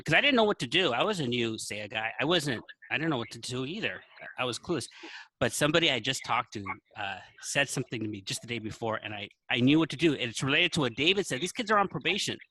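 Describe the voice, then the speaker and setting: A man's voice: mid-range voice, animated, quick pace, sharp tone, no echo, poor audio. A man telling an anecdote in a casual podcast style, acting out the dialogue as he goes. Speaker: podcast guest, teacher. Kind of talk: casual storytelling.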